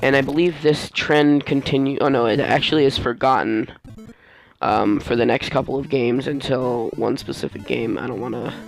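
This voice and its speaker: Male gaming host, gravelly fry, mid-pitched, rambling casual voice-over, game music behind. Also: fairly even intonation, low-quality recording. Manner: rambling, halting, often losing his train of thought. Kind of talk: casual let's play voice-over commentary.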